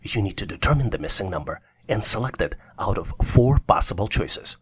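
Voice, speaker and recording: A man's voice: on the low side, animated, fairly quick, a bit bright, breathy edge. A man narrating a scripted puzzle tutorial as an instructor, encouraging and speaking directly to the listener. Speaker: online test-prep instructor.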